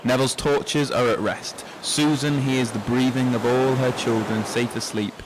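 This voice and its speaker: A man's voice: mid-range voice, steady tone, normal speed, noisy background, lightly acted. An actor as a reflective middle-aged man. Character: middle-aged reflective man reminiscing with old friends, resigned. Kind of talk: literary radio drama acting.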